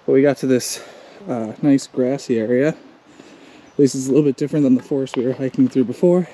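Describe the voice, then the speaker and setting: A man's voice: medium pitch, a little fast, mellow. A man in his thirties narrating a casual travel vlog, upbeat and chatty, filming himself at arm's length outdoors. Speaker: travel vlogger. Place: outdoors on a hiking trail and at breweries. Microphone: handheld camera at arm's length. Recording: noisy outdoor ambience.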